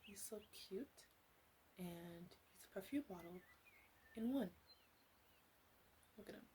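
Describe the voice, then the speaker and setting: A woman playing a flirty, caring witch in an ASMR roleplay, soft-spoken and often whispering, with a gentle, intimate, hesitant way of talking. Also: medium pitch, animated, takes it slowly, slight background noise. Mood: doting, playful.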